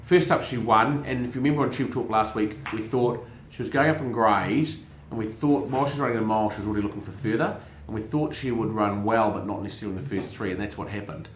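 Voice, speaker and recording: A middle-aged man with a lowish voice, talking through the week's racing in a loose, chatty way. He often stumbles and repeats words while he hunts for the next one. The room gives his voice a clear echo. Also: quick pace, slight background noise. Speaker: racing show host and syndicate manager. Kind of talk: casual family chat show. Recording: roomy echo.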